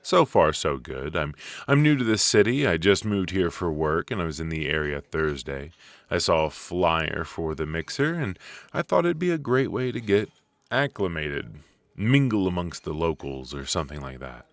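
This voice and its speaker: Deep-voiced, rambling, flirty coffee date played by a man. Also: expressive, no room sound. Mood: warm, curious.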